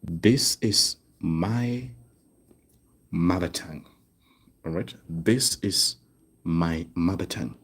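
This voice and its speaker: Slow husky male German tutor. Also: low voice. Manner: slow, repeats phrases word by word, asks "right?" often. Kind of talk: online language lesson, writing on cards. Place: desk indoors.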